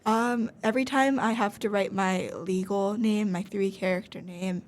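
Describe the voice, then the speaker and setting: A woman's voice: medium pitch, fairly even intonation, normal speed. Self-deprecating young American woman. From United States. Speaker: guest teacher. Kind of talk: casual chat.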